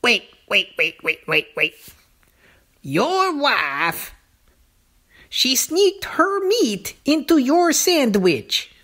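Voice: high voice, sing-song, very slow, pushed and bright, voice pushed up, heavily acted. A performer voicing a small, wary talking lizard in a comedy skit, repeating words and speaking in uncontracted phrases. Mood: incredulous, prying. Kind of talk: comedy puppet-style dialogue.

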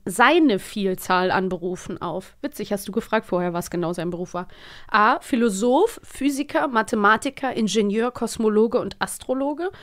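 A woman co-hosting a podcast in casual chat, talkative and laughing easily as she leads the conversation. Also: medium pitch. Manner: chatty, laughing, guides the talk. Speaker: podcast co-host.

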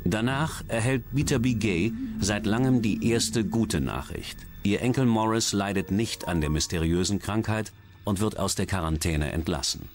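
A man's voice: lowish voice, normal speed. A man reading scripted true-crime documentary narration as the narrator. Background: background music.

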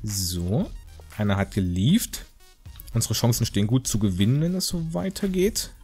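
A man's voice: medium pitch, sing-song, normal speed, a little mellow, faint music behind. A man giving casual, joking game commentary in a rambling, slangy, mock-indignant way. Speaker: gaming video host.

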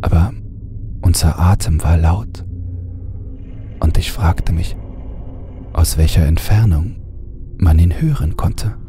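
Deep, slow man narrating horror. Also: noisy background. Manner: hushed, often half-whispered delivery. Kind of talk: scripted horror audiobook narration. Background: music bed.

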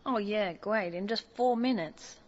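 An actress playing an eager, flustered heavenly case worker in an audio drama, excitable and stubbornly hopeful. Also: female voice, medium pitch, measured pace, natural voice. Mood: anxious, hopeful. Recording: muffled audio drama mix, music and crowd.

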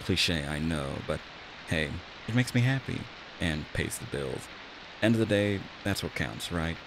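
Deep-voiced man in a chatty barista roleplay. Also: measured pace. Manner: chatty, gently reassuring. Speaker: voice actor in an audio roleplay. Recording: steady rain and hiss behind voice. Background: rain.

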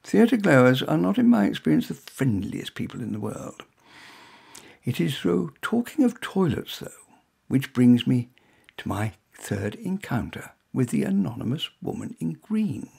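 A man's voice: medium pitch, sing-song, unhurried, creaky, darkish tone, husky. An English man reading his own humorous first-person short story in a wry, droll way, with conversational asides.

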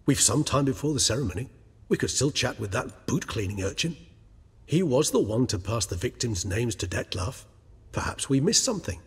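An actor playing an erudite, pensive gentleman scholar in a video game, speaking in formal, wordy musings. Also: mid-range voice, sing-song, moderate pace, husky, long reverb tail, exaggerated voice. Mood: earnest, reasoning. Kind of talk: video game dialogue acting.

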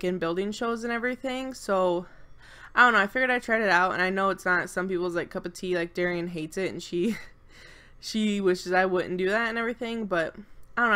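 A young woman with a blocked-up nose chats her way through a voice-over at home, nervous and apologetic, trailing off into fillers. Underneath the stuffiness her voice stays smooth and clear, sitting at an ordinary middle pitch. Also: pushed and bright. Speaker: channel co-host. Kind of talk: casual voice-over commentary on a game build.

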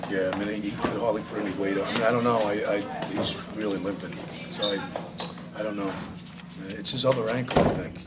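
An older man, a head coach, answering postgame questions at a press conference in a halting, subdued way with many restarts. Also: on the low side, steady tone. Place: small press room with sponsor backdrop. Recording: noisy room ambience.

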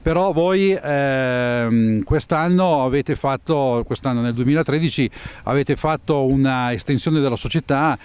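A man's voice: mid-range voice, measured pace, darkish tone, clean tone. Middle-aged male reporter outdoors on snow. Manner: formal questions with hesitations. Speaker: TV interviewer. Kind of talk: outdoor TV interview. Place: outdoors on snowy ski slope. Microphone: handheld mic.

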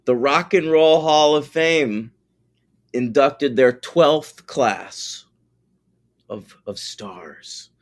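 Casual male podcast co-host, mid-pitched, lively and foul-mouthed, easygoing banter. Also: very slow. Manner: animated, profane, joking.

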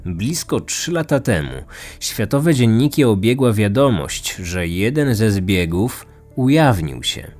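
A man narrating a scripted true-crime podcast as host, in a measured, serious manner. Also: on the low side, big pitch swings, darkish tone, faint music behind.

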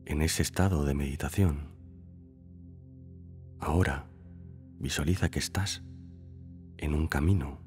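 A man leading a scripted guided meditation in calm, unhurried phrases with long pauses. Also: very deep voice. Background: background music.

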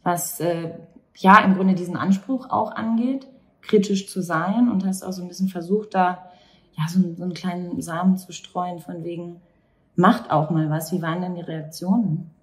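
Low-voiced woman interviewing in an echoey room. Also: fairly even intonation, a little mellow. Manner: halting, searching for words. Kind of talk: conversational interview with long reflective questions. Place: small cafe or bar table at night. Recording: very echoey, distant, noisy room ambience.

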